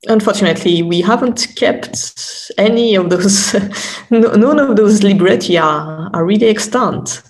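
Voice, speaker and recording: Hesitant woman academic, gravelly creak. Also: on the low side. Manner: halting, repeats words while searching for phrasing. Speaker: academic expert guest. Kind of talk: explanatory interview conversation.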